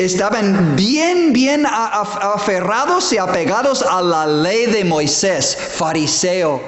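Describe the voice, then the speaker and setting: A man preaching a sermon as a pastor, stressing words slowly and restarting phrases. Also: high voice. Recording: echoey hall with faint ambience.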